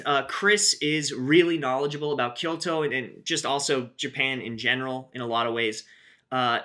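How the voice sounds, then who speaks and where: A man with a fairly high, bright voice runs his own channel, talking casually about travel news. He keeps a wry, chatty tone and drops in frequent filler "uh"s as he walks through each point. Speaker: YouTube host.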